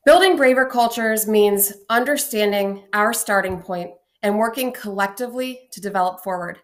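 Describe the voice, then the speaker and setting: A woman, an executive director, giving a measured, scripted welcome address in a recorded video. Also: mid-range voice. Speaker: executive director, opening speaker. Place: indoor room.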